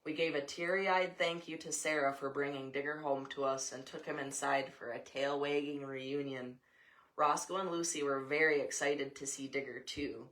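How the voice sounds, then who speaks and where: A woman's voice: sits low, steady tone, normal speed, fairly bright tone. An American woman reading a story aloud, with casual asides along the way. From Montana, USA.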